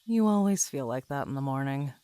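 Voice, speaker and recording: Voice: mid-range voice, animated, fairly quick, dark tone, clear, resonant tone, no echo, natural voice. A performer playing a composed, reassuring young trainee in an audio drama, polite and formal in phrasing.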